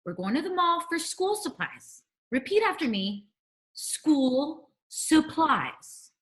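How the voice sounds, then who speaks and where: A woman's voice: high voice, very animated, slow, fairly bright tone, heavily acted. A woman playing a bossy, scheming tween girl in a comedic table read over a video call. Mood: bossy, impatient.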